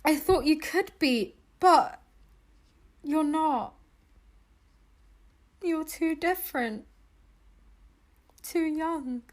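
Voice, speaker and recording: High-voiced, desperate young daughter, acted by a woman. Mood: grieving, helpless. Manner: emotional, halting, sweary. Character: desperate young time-travelling daughter, grieving and defiant. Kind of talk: audio drama acting.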